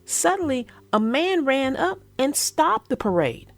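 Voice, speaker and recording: A woman children's book narrator speaking expressively and deliberately, as if to young children. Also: high-pitched, very animated, no room sound, faint music behind. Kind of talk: storybook read-aloud and casual chat.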